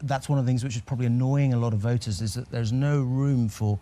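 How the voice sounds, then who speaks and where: A man appearing as a guest on a television newspaper review, talking in a measured way with hesitant fillers. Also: mid-range voice, steady tone, mellow. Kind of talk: casual studio discussion. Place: television studio.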